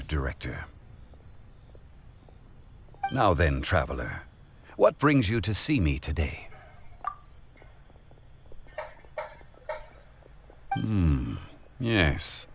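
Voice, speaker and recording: Deep, dignified ancient sage. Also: male voice, slight background noise, full character voice. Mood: composed. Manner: measured, formal, sage-like. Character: calm, dignified former god living as scholarly consultant. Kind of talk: anime game voice acting.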